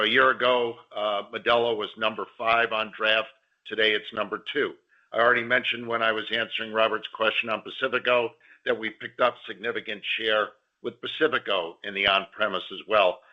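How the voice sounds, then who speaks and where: A man answering analyst questions as a senior company executive on an earnings call, in a measured way. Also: lowish voice. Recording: slightly muffled conference-call audio.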